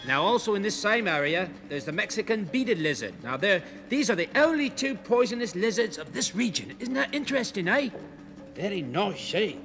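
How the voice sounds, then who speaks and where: A man's voice: sits high, sing-song, normal speed, fairly bright tone, soft background music, exaggerated voice. An actor playing a jolly, chatty male zoo host who gushes to the audience and coos at the animals. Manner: chummy, gushing, talks to the audience and animals. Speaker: zoo show host character. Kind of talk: comedy sketch acting.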